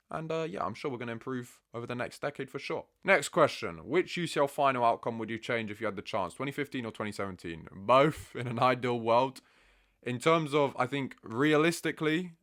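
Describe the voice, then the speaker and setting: A young man from England answers viewer questions in a laid-back, chatty manner. His voice sits low and he often calls the listener "bro". He sounds casual and talkative throughout. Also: fairly bright tone. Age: 20s. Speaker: football content creator. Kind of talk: casual Q&A chat.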